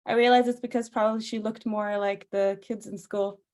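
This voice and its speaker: A woman's voice: medium pitch, level tone, a little fast, darkish tone. A young woman singer from Austria whose first language is Farsi, chatting as a podcast guest and laughing often. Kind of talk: casual interview chat.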